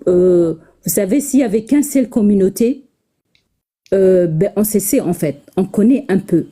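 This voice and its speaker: A woman with a husky voice chatting casually, hesitant, repeating herself and laughing often. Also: mid-range voice, a little slow, dark tone. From Africa, Ethiopian mother and Senegalese father. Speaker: guest in an online conversation. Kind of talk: casual chat.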